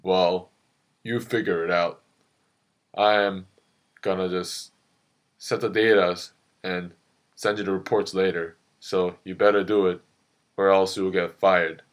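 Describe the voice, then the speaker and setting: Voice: low-pitched, steady tone, unhurried, pauses often, voice pushed down. A performer voicing a bossy, offhand agency chief handing out orders in an amateur puppet show. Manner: offhand, commanding.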